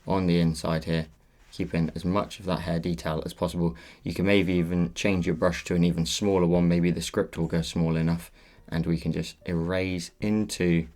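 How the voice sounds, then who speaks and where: A man hosting a step-by-step drawing tutorial, patiently walking viewers through each move, his voice a little croaky. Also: sits low, darkish tone. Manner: patient, instructive walkthrough. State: slightly croaky voice. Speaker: tutorial host.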